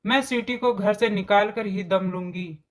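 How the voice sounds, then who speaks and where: A woman voicing a meek elderly mother-in-law in a storybook tale, plaintive and gentle. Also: mid-range voice, normal speed, natural voice. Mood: remorseful.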